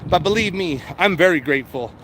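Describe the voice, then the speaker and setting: High-pitched man livestreaming amid outdoor noise. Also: lively intonation, brisk, a bit bright. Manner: informal, sweary, addresses viewers directly. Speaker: livestream host chatting with viewers. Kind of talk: casual livestream chat. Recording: noisy outdoor street and vehicle background.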